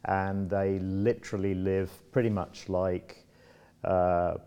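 A man's voice: sits low, little pitch movement, slow pace, dark tone, clean tone, dull audio. A man in his sixties, born in Congo, answering interview questions in a casual, thoughtful way with many restarts and fillers. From Democratic Republic of Congo. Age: 60s. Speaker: interview guest.

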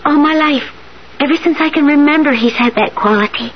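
A dull old radio recording with faint hiss. A woman plays a devoted young daughter with a high voice. She speaks hesitantly and earnestly, searching for words. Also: a little fast, natural voice. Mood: earnest, wistful. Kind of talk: 1970s radio drama acting.

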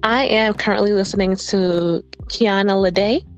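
A woman's voice: medium pitch, steady tone, measured pace, soft background music, low-quality recording. A woman being interviewed about her nonprofit, speaking in an earnest, upbeat way with frequent fillers. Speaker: nonprofit founder, guest. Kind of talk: casual interview chat.

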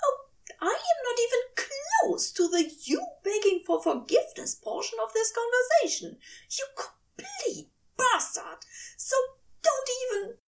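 A woman acts out a male angel, prim and furious with hurt. The voice sits very high and swings widely with indignation. It is a storybook-style drama delivery, recorded a little back from the microphone. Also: sing-song, a little slow, creaky, distant mic, heavily acted. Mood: furious, indignant. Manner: prissy, indignant, old-fashioned turns of phrase.

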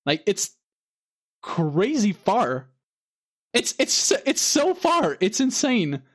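A man's voice: high-pitched, big pitch swings, takes it slowly. A man co-hosting a podcast, chatting casually and rambling with lots of fillers and restarts. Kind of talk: casual chat.

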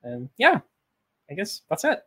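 Relaxed thirty-year-old Polish man, dry close sound. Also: sits high, measured pace, no room sound. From Poland. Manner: relaxed, rambling with fillers and restarts. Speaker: podcast guest. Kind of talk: casual interview chat.

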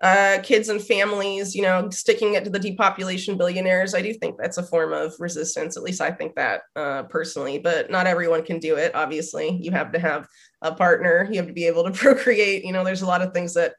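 A woman's voice: fairly low pitch, steady tone, a little fast, a bit bright. A woman in her thirties, slightly nasal from a cold, talking as a guest in a rambling way with lots of fillers. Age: 30s. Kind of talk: casual conversation.

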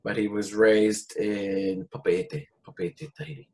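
Relaxed man. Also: fairly low pitch. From New Zealand. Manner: unhurried, with frequent ums and restarts. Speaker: interview guest. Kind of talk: casual storytelling interview.

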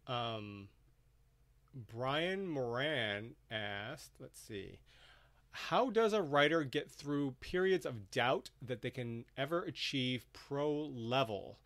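A man with an average-pitched voice hosts a discussion. The sound is dry, with no room echo, as he reads out questions and hands them to his guests. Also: lively intonation, takes it slowly, a bit bright. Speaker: host, moderator. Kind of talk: reading out audience questions to panelists.

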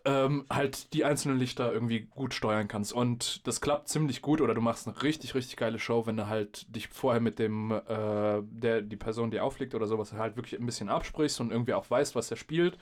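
A man's voice: mid-range voice, fairly even intonation, fairly quick, few pauses. Young man telling stories in slangy, casual chat. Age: young adult. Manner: slangy, acts out quoted remarks. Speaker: podcast host and DJ. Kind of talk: casual chat, animated storytelling.

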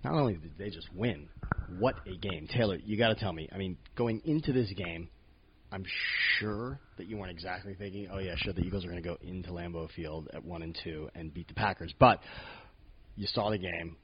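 A man hosting a casual sports podcast, talking with eager, excitable enthusiasm. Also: sits low, fairly quick, noisy background. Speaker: podcast host. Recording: dull lo-fi podcast audio.

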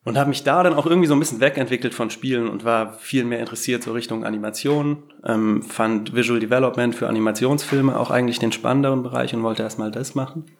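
A man's voice: mid-range voice, quick pace, few pauses, a little hiss or hum. A young adult man, a game designer and podcast guest, telling his story in a rambling, self-deprecating way. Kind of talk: casual podcast interview. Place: Berlin.

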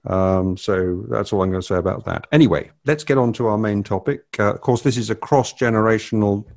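Gravelly older man hosting. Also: fairly low pitch, brisk, darkish tone. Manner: rambling, hesitant with many fillers. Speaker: podcast host. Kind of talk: casual family chat.

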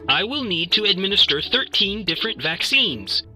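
A man's voice: sits high, very animated, moderate pace, sharp tone, faint music behind, pitched above the performer's own voice, exaggerated voice. A man voicing a polite, procedural medical robot drone. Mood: clinical.

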